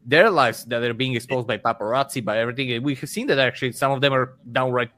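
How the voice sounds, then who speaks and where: A man with a Balkan accent speaks at a medium pitch in a casual panel chat. He talks slowly and deliberately, laying out his opinions point by point. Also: fairly even intonation, fairly bright tone. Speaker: panel guest.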